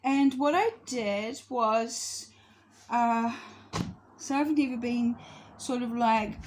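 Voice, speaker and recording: Woman, 50s, high-pitched, casual rambling vlogger who loses the thread. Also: takes it slowly, darkish tone, slight background noise. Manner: rambling, loses her thread and corrects herself. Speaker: astrology vlogger. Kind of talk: casual spoken monologue to camera.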